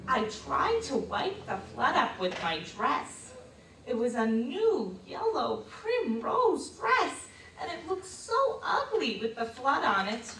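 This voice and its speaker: An actress playing a reticent, embarrassed old lady reminiscing about her youth in a theatrical stage monologue, hesitant and confiding with dramatic pauses. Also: high-pitched, pushed and bright, full character voice. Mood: wistful, embarrassed. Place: live stage performance in a hall. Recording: distant mic in echoey room, noisy.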